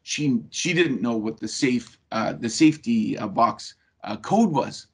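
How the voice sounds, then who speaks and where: A man's voice: mid-range voice, expressive, unhurried, slightly rough. A man giving a webinar talk over a video call, telling a personal story in a conversational way with frequent fillers. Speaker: webinar presenter.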